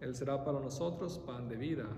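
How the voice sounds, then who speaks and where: Male priest saying mass, solemn and formal, echoey church, bit of background noise. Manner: measured, formal ritual phrasing. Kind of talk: solemn liturgical prayer recitation. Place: large reverberant church interior. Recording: echoey church hall, faint low rumble.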